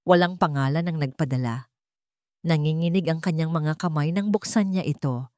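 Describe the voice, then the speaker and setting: A woman's voice: low-pitched, normal speed, mellow, no echo, clean studio sound. A woman reading a scripted, dramatic story as its narrator.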